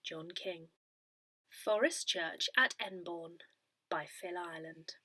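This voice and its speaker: A woman reading a newsletter aloud in a measured, careful way with expressive emphasis. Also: fairly low pitch, no echo. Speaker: volunteer reader of an audio parish newsletter. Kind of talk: read-aloud newsletter narration.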